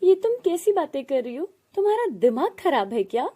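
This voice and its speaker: A woman voicing a gentle, protective widowed mother in a family story, plaintive and pleading. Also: sits high, big pitch swings, brisk, voice pushed up. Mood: indignant.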